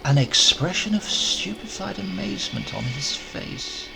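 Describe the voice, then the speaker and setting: A man's voice: high-pitched, expressive, unhurried, creaky, noisy background. A man reading a horror story as an audiobook narrator, theatrical, emphatic and formal in his delivery. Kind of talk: dramatic scripted horror narration.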